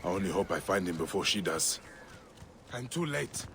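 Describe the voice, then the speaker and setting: An actor playing a grave, compassionate male Egyptian investigator in a video game, speaking in a measured, brooding way. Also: low-pitched, expressive, fairly bright tone, slight background noise. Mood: brooding, investigative. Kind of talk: video game acting, part dialogue, part musing aloud.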